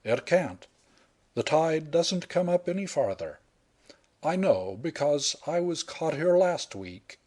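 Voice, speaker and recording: A man's voice: on the high side, very animated, a little mellow, pitched above the performer's own voice, full character voice. A man reading aloud and voicing an unruffled young man who teases his sweetheart with dry humour. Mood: teasing. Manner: unhurried, dry, wry.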